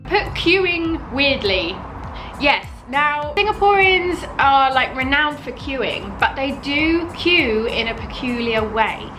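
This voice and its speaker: A young British woman in her twenties, talking to camera in a casual, animated vlog and using "like" a lot. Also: high voice, slow pace, poor audio. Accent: British English. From United Kingdom. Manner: chatty, animated, fills with "like". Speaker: vlogger. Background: background music.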